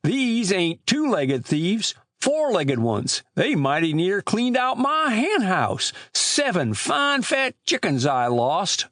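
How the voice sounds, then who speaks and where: A man's voice: high voice, very animated, takes it slowly, few pauses, a bit bright, dry recording, clean studio sound, voice pushed up, exaggerated voice. A man voicing a folksy old village storekeeper in a storybook, with rustic, ungrammatical country talk. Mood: aggrieved. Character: folksy old male village storekeeper, aggrieved but generous. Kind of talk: storybook character voice.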